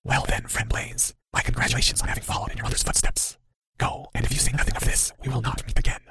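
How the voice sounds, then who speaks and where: A man voicing a mysterious, sly cloaked figure in an audiobook, in a dry, breathy whisper with courteous veiled menace. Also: mid-range voice, flat delivery, bright, forceful tone, dry recording. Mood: sly, mocking. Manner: slow, courteous veiled threats. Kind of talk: audiobook character voice.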